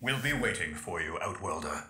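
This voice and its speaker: A man's voice: sits low, expressive, moderate pace. A man playing a focused, scrappy tech operative in a video game, informal and urgent, echoing under music. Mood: subdued. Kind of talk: video game radio dialogue acting.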